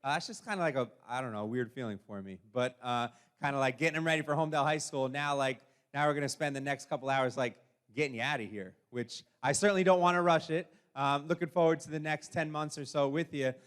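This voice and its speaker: A man speaking as a school administrator, welcoming an audience in an informal, somewhat halting way. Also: mid-range voice, fairly bright tone, clean tone. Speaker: school administrator opening an event.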